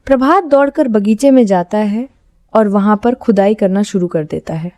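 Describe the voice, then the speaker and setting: Mellow woman narrating a horror story. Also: mid-range voice, normal speed, no room sound.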